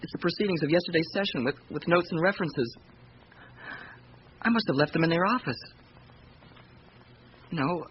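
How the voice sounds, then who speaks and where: An actor as a shaken, high-voiced young man. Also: close to an everyday voice. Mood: distraught, halting. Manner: halting, apologetic, stammering. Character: distraught young male office worker, apologetic and shaken. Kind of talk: radio drama acting. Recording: muffled, lightly noisy radio recording.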